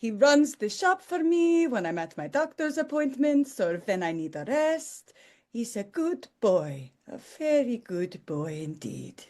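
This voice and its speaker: An actress as a slow-talking, high-voiced elderly Austrian widow in a romcom read. She is wholesome and doting, and gently insistent with customers. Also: big pitch swings. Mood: proud, fond. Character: sweet, wholesome old Austrian widow shopkeeper in her seventies. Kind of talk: table read of a romcom script.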